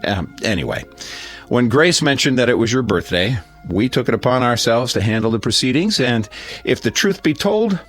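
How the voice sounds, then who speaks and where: An American actor playing a genial middle-aged neighbor giving a folksy, hesitant, sentimental toast in a radio drama. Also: male voice, mid-range voice, expressive, normal speed, a bit bright, faint music behind, close to an everyday voice. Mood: playful teasing. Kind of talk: radio drama acting, party speech.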